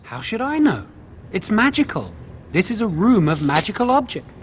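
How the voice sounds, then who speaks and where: A man playing an eager young mage apprentice in a fantasy game, earnest and expressive. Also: sits high, moderate pace, slight background noise. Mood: urgent.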